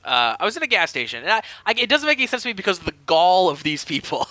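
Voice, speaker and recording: Animated man with a high, bright voice. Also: fast, no room sound. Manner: animated, chuckling through stories. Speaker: podcast co-host. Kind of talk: casual comedic storytelling chat.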